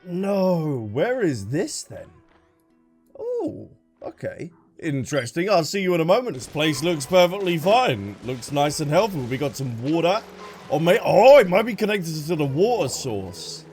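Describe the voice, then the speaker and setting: A man's voice: a little high, very animated, unhurried, darkish tone. A man with a British accent giving chatty, playful gameplay commentary, reacting aloud as a streamer. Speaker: gaming streamer. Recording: game music behind the voice.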